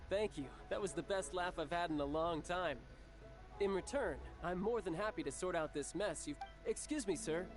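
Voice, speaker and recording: An actor playing a smooth-talking young villainous diplomat in a video game, breezy, playful and ingratiating. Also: male voice, high-pitched, rough recording.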